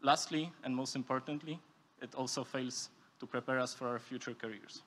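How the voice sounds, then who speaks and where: A man's voice: mid-range voice, fairly even intonation, moderate pace, fairly bright tone. A young man from Poland delivers a prepared, formal and structured speech as a student speaker. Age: young adult. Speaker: student speaker at a youth forum.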